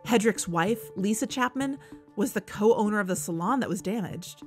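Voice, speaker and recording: A woman's voice: mid-range voice, animated, moderate pace, natural voice. A woman playing an investigative podcast host, narrating in a serious, conversational, scripted style. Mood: earnest, urgent. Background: background music.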